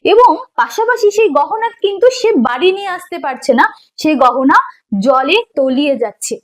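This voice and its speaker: A woman's voice: high voice, animated, moderate pace. A woman teacher giving an explanatory online lesson in a didactic way, posing questions and answering them herself, and repeating key points. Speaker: teacher of an exam preparation course.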